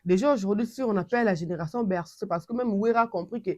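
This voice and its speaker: A woman from Congo with a Congolese French accent, hosting her channel and giving animated casual commentary with many restarts. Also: medium pitch, fast, dry recording, highs cut off.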